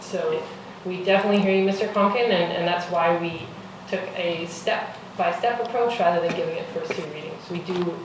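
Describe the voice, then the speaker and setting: A woman chairing a council meeting, speaking in a measured, reassuring way with some ums. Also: on the low side. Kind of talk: formal meeting chair, casual phrasing. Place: council chamber. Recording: echoey council chamber, far mic, noisy.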